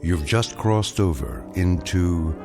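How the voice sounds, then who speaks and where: An actor playing a mysterious guide to an eerie otherworld, giving a solemn, deliberate scripted introduction. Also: male voice, sits low, animated, loud music behind the voice. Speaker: series introduction narrator. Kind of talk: dramatic scripted narration.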